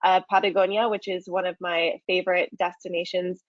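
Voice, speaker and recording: A woman's voice: lowish voice, little pitch movement, brisk, no room sound, lo-fi sound. A woman from the United States presenting a travel webinar in a friendly way, with many ums and uhs. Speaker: market manager presenting a webinar.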